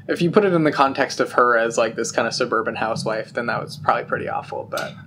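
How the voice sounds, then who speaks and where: A young man co-hosting a casual chat about a TV episode, relaxed and agreeable, often answering with short affirmations. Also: medium pitch, quick pace, a little hiss or hum. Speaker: podcast co-host.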